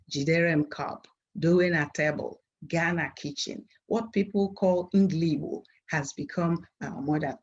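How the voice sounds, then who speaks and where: A middle-aged woman with a Nigerian accent and Igbo as her mother tongue reads a formal academic presentation in a measured, earnest way. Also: lowish voice, a little mellow, slightly rough, dull audio. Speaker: university professor presenting at a conference. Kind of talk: formal scripted academic lecture.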